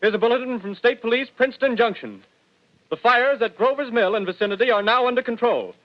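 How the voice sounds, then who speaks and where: A high-voiced bulletin announcer. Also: male voice. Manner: brisk announcer delivery. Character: crisp male radio announcer reading bulletins. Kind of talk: 1930s radio drama acting. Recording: dull lo-fi old radio recording.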